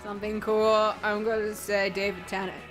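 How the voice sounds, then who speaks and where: A woman's voice: medium pitch, level tone, moderate pace, music in the background. A woman in casual gaming banter, teasing sarcastically. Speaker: let's play co-host.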